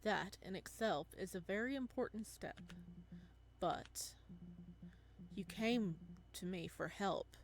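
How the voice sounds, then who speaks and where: A woman voicing a calm, probing male therapist in a visual novel, measured and clinical, with a quietly ominous temper. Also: mid-range voice. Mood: calm, insistent. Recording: music playing behind the voice.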